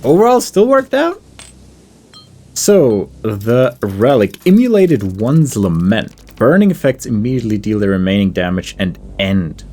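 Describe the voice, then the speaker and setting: Low-voiced man casually commentating a game. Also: darkish tone. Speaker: gamer commentating on own playthrough.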